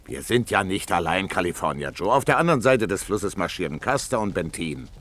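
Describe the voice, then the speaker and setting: An actor playing a dutiful, troubled cavalry major in a German radio play, speaking in a formal, stubborn military manner. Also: male voice, sits low, big pitch swings, brisk, a bit bright, slight background noise. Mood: stubborn resolve.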